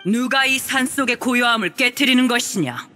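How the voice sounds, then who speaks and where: A woman's voice: fairly high pitch, normal speed, bright, forceful tone, a little hiss or hum. An actress playing an ancient, playful female immortal in a game, with stately, archaic phrasing and teasing amusement. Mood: mock-stern, amused. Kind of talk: Korean game voice acting. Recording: music behind the voice.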